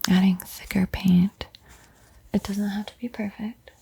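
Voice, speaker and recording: A woman whispers painting instructions in a soothing, encouraging ASMR style, with a breathy, airy voice. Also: mid-range voice, flat delivery, unhurried, mellow. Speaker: art tutorial host.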